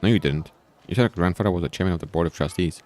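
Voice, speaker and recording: A man voicing an evasive, slightly sarcastic male high school student in a visual novel. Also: racing, a little mellow, a little hiss or hum, natural voice. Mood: offhand. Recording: background music.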